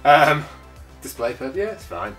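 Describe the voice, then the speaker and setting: Bright-voiced man, a bit stuffy. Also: fairly high pitch, lively intonation, moderate pace, soft background music. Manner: chatty, rambling, with frequent filler sounds. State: stuffy, congested from a cold. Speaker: toy collector channel host. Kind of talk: casual unboxing commentary.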